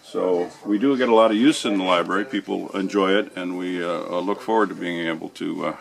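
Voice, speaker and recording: A man giving an informal guided tour as a library guide, explaining things methodically in a halting way. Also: low-pitched, steady tone, creaky.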